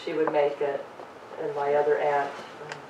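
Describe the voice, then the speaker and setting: Lower-voiced woman answering from the audience. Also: completely flat delivery, measured pace, darkish tone, slight background noise. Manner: hesitant, brief answers. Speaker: audience member. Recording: roomy hall, heard from far off.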